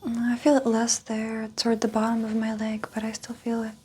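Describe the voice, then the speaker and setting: A woman whispering as a patient in an ASMR exam roleplay, answering quietly with soft murmurs. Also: on the high side, completely flat delivery, fairly quick, breathy edge.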